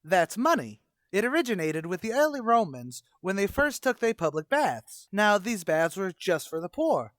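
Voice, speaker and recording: Brash, know-it-all tavern boss played by a man for old-time radio comedy; swooping, raised pitch, colloquial bluster. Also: sing-song, moderate pace, a bit bright, no echo. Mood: pompous lecturing. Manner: pompous, malapropism-prone, colloquial. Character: brash, self-important tavern manager mangling big words.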